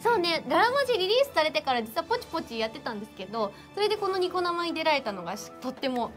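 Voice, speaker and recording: A woman's voice: high-pitched, sing-song, racing. A young woman chatting casually as a guest on a live stream. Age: young adult. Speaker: guest on a game promotion show. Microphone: lapel mic. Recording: faint music behind.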